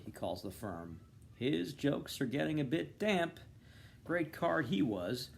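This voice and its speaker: A man reading literary fiction aloud as an expressive narrator, following a written text. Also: medium pitch, a little hiss or hum. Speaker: reader of a novel, volunteer.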